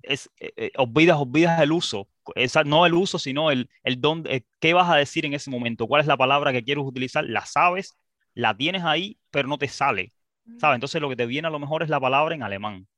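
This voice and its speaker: A man's voice: a little high, fairly quick, a bit bright, dry recording. A man studying abroad, chatting casually and hesitantly, with many restarts and fillers. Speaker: student guest. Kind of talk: casual conversation.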